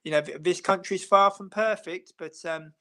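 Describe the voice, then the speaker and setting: A man's voice: high-pitched, fairly even intonation, normal speed, pushed and bright. A man, a football interviewer, talking at length in a casual chat, full of fillers and restarts. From England. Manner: long rambling answers with many fillers and restarts. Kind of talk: casual chat on a live stream.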